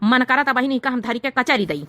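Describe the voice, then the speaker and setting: A woman's voice: high voice, lively intonation, fairly quick, sharp tone. A woman voicing an exasperated village husband in a comedy cartoon, scolding and grumbling in an Awadhi accent. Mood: grumbling, alarmed. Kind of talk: exaggerated cartoon comedy dialogue.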